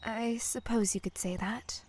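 An actress playing an earnest, pleading mermaid in human form in an audio drama, speaking softly and hesitantly, often near a whisper. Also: female voice, a little high, steady tone, measured pace, husky. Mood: solemn, confiding. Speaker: voice actor.

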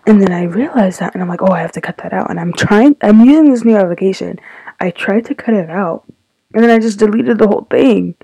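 A teenage girl hosting her own podcast, sounding stuffy and tired, rambling with lots of fillers in a soft, confiding way. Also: medium pitch, brisk, creaky, husky, no room sound, poor audio. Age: teens. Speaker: podcast host. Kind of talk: casual solo podcast talk.

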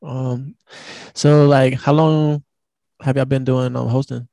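A man around thirty hosts a podcast in an easygoing, slangy way, dropping casual fillers as he goes. His voice sits in an ordinary middle pitch. The sound is dry and close, with no room around it. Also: flat delivery, takes it slowly, creaky, mellow, no echo. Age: 30s. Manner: laid-back, slangy, lots of fillers. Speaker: podcast host.

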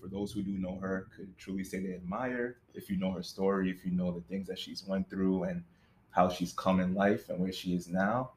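A man co-hosting a faith podcast, interviewing a guest in a relaxed, easygoing way. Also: low-pitched, moderate pace, a little hiss or hum, low-quality recording. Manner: laid-back, many affirming filler sounds. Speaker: podcast co-host.

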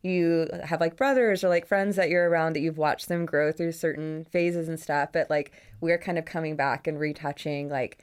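Podcast host, young woman with a low voice, casual, effusive and informal in conversation. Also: fairly even intonation, moderate pace, few pauses, clear, resonant tone. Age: young adult. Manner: effusive, informal with slang and mild swearing. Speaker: photographer.

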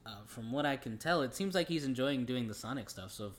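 A man's voice: mid-range voice, animated, fast. A man co-hosting a casual movie review podcast, chatting in an easygoing, agreeable way. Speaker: podcast co-host.

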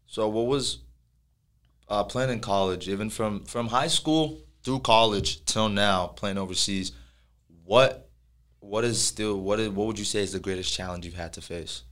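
A man's voice: fairly low pitch, moderate pace, a bit bright. A young man from Nigeria hosting a casual interview, easygoing and conversational. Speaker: interviewer, host.

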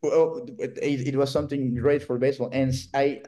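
A Venezuelan-accented man, a Spanish speaker, chatting on a podcast in a mellow, warm voice. He tells stories with animation and acts out the voices of the people in them. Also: medium pitch, moderate pace. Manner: animated storyteller, acts out quotes. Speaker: guest, baseball writer. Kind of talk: casual podcast chat.

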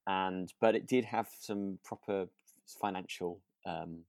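A man's voice: sits low, fairly even intonation, slow, gravelly, darkish tone, no echo, clean studio sound. A man chatting casually as a podcast co-host, hesitant and often correcting himself. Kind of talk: relaxed casual chat about a radio drama.

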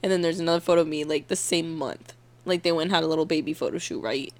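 A young woman with a deep voice, co-hosting a casual chat, swearing and laughing a lot. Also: quick pace. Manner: giggly, swearing, keeps laughing mid-sentence. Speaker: podcast co-host.

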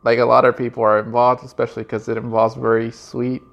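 A man in his thirties from Los Angeles, hosting a relaxed, friendly interview with plenty of fillers. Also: mid-range voice, quick pace, vocal fry, highs cut off. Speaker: host. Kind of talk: casual interview. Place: indoors, downtown studio.

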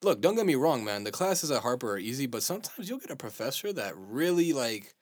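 A man's voice: medium pitch, very animated, brisk, no echo, clean studio sound. A man in his twenties, a musician, chatting casually on a podcast in an emphatic, slangy way, calling people "dude" and "man.". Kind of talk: casual chat.

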